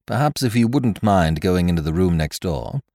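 A man's voice: low voice, expressive, normal speed, warm tone, no echo, studio quality. A man voicing an impatient, matter-of-fact middle-aged police inspector. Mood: brisk.

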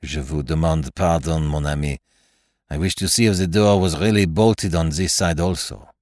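A man plays a storybook detective with a very low voice. He is calm, courteous and shrewd, speaking with dry, measured formality in a close, dry sound. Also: no echo. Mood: pensive, dry.

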